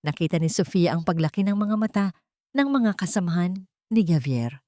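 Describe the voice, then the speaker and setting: A woman's voice: lowish voice, animated, moderate pace, warm tone, no echo, clean studio sound. A woman reading scripted dramatic narration of a romance story as a storyteller.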